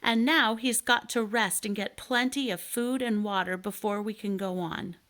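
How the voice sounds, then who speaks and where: A woman's voice: mid-range voice, normal speed, a bit bright, natural voice. A woman reading a children's storybook, voicing an eager, kindhearted young boy who is earnest and curious. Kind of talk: children's storybook read aloud.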